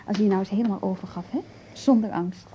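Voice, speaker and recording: An actress playing a playful, coaxing lover in a Dutch radio play, speaking intimately and teasingly. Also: female voice, mid-range voice, steady tone, quick pace, mellow, lo-fi sound, a little hiss or hum, natural voice.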